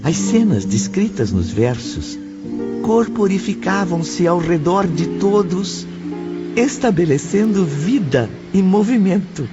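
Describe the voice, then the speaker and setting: A man's voice: high-pitched, big pitch swings, slow pace. A man narrating an audio novel from a script in a solemn, poetic manner. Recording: dull muffled audio under music.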